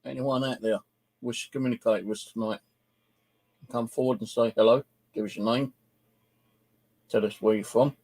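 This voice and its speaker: Casting: male paranormal investigator, rough creaky voice with a husky edge, informal, deliberate questioning delivery. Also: mid-range voice, steady tone, darkish tone. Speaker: ghost-hunting channel host. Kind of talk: casual spoken commentary, calling out to spirits.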